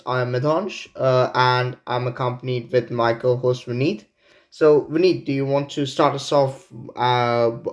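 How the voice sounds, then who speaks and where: Teen guy from india hosting a student podcast, careful, lots of uhs, normal pitch. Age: teens. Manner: measured, with many hesitations. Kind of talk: casual discussion.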